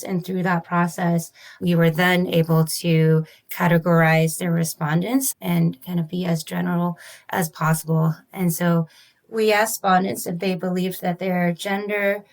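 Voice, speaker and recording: A woman physician and researcher, interviewed as a guest, explaining her study in a careful, methodical and formal way. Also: on the low side. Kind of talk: measured academic explanation.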